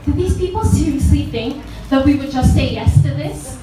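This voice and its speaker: A woman's voice: high-pitched, moderate pace, close to an everyday voice. A woman playing a fiery, scornful young princess in a dramatic book reading, indignant and sarcastic. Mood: outraged. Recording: echoey room, distant mic, faint noise.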